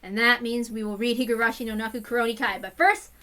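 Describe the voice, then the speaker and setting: Bright, high-voiced woman reading aloud. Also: steady tone, fast talker. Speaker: reader of a visual novel. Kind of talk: casual read-aloud narration.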